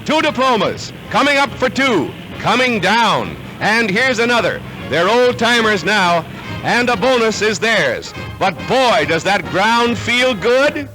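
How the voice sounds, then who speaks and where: A man's voice: high-pitched, sing-song, a little slow, rough recording. An American man delivering scripted 1940s newsreel narration in a dramatic, punchy announcer manner, on a vintage film soundtrack. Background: music.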